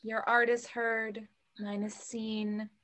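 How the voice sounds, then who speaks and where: A woman's voice: on the high side, flat delivery, takes it slowly, a bit bright. A young woman reading the part of a protective, wry widowed mother in a play over a video call. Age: young adult. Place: room at home. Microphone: computer mic on video call.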